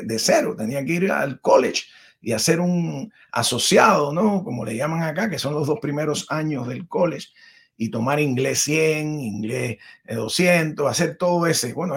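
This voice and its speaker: A man's voice: a little high, lively intonation, moderate pace, husky. A middle-aged Cuban man, a Spanish teacher and podcast guest, telling his life story in a rambling, conversational way full of restarts. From Cuba. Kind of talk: conversational interview.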